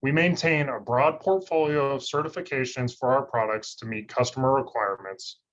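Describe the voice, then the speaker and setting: A man scientist giving a steady, monotone scripted webinar presentation over a video call. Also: mid-range voice, normal speed. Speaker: industry research scientist presenting. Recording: slightly dull lo-fi call audio.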